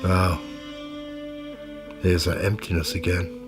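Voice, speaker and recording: Brooding radio play narrator, played by a man: weary investigator, low, very unhurried, wry and creaky. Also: flat delivery. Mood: reflective, melancholy. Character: weary, reflective, nosy investigator. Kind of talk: scripted first-person radio drama narration. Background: music.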